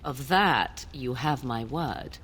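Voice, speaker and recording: A woman's voice: rumbling low, a bit bright, a little hiss or hum, exaggerated voice. A woman voicing a cool, sly young witch in a video game, with haughty, archaic phrasing. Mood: sly, composed. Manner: archaic diction, haughty, deliberate. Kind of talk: video game dialogue acting.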